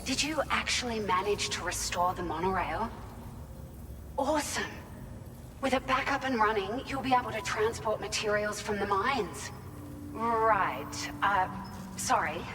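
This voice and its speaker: A woman's voice: medium pitch, a little slow, lightly acted. A woman playing a friendly, appreciative researcher in a video game, with an informal, upbeat, encouraging way of talking. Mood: grateful, upbeat. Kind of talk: scripted game dialogue. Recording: distant, long hall reverb, music behind.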